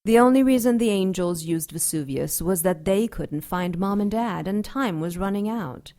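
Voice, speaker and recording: A woman playing a persuasive, grave female dark angel in an audio drama. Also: mid-range voice, expressive, moderate pace, natural voice. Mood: grave, urgent.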